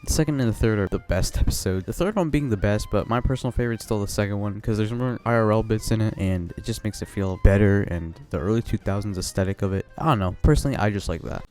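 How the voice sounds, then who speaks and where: A man's voice: fairly low pitch, quick pace, hardly any pauses, darkish tone, faint music behind. A man giving a casual, rambling, sweary review commentary as an online video reviewer. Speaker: YouTube reviewer of a horror series.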